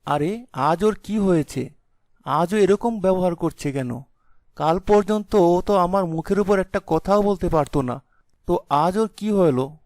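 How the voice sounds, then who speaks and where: A man voicing a young village husband, blustering but easily cowed, with an exclaiming, melodramatic delivery in an animated folk tale. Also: high-pitched, unhurried, no room sound. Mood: puzzled, meek. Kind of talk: animated fairy-tale voice acting.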